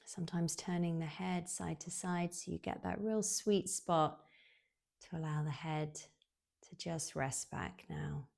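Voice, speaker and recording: Woman doing yoga nidra, really slow n soothing, lowish voice, soft breathy endings. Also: steady tone. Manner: soothing, unhurried, with long pauses. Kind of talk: guided relaxation meditation.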